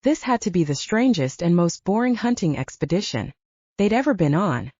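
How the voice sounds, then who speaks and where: A woman with a lower-pitched, mellow voice narrates an audiobook in the first person, her pitch moving a lot as she tells the story. The sound is dry and a little dull, like a lo-fi home recording. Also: very animated, moderate pace, dry recording.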